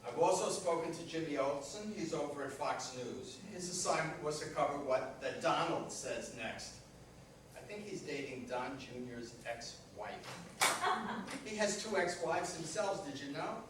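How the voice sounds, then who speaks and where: A man's voice: a little high, animated, moderate pace, distant mic, lightly acted. A man playing a defensive, self-important aging superhero who is out of work, in a staged comedy play reading. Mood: grumbling. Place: echoey room at a live staged reading. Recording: very echoey hall from afar, faint noise.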